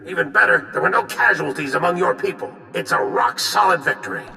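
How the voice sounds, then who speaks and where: An actor playing a gruff veteran general in a video game, with a gravelly voice and a brisk, commanding manner. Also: male voice, on the high side, big pitch swings, sharp tone, full character voice. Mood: assured. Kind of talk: video game cutscene dialogue. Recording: echoey, distant, music behind.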